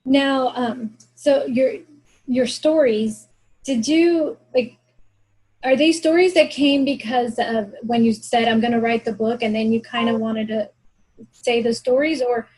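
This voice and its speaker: A woman with a slightly high, husky voice hosts a book club author talk. She asks loose, rambling questions and reworks them partway through. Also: unhurried, a little mellow, low-quality recording. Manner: rambling, rephrases her questions mid-way. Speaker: book club host, interviewer. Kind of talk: casual interview questions.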